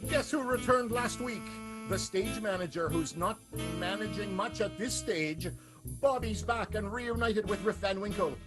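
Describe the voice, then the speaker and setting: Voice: medium pitch, unhurried, poor audio. An announcer narrating an improvised radio serial in a grandiose, dramatic, theatrical way. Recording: music behind the voice.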